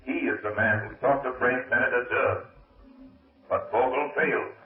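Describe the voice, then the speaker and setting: A man's voice: mid-range voice, moderate pace, close to an everyday voice. An actor playing a mysterious, menacing male avenger of crime in a solemn, declamatory 1930s radio drama style. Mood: ominous. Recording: muffled echoey old radio recording, distant, faint music.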